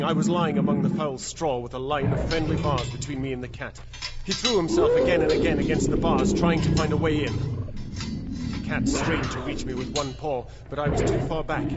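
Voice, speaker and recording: A man's voice: a little high, lightly acted. An actor playing a terrified, trapped man who recounts his ordeal in suspenseful, theatrical audio drama narration. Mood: frantic terror. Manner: suspenseful, theatrical, swinging from hushed to frantic. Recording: dull, lo-fi audio with loud background noise.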